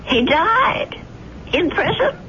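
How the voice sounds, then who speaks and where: A woman's voice: extremely high pitch, sing-song, takes it slowly, sharp tone, heavily acted. A woman playing an anxious, earnest elderly landlady in a 1970s radio drama, with a hesitant, fretful manner. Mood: troubled, insistent. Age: older. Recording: muffled, hissy old radio broadcast.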